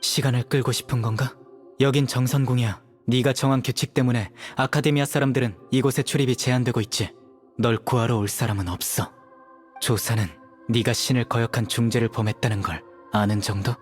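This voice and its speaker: Casting: male actor as cool, scornful young lawman in a game, rough-edged husky tone, measured menace. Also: mid-range voice, fairly even intonation, soft background music, close to an everyday voice. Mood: scornful, confident. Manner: measured, mocking, stern. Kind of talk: dramatic game voice acting.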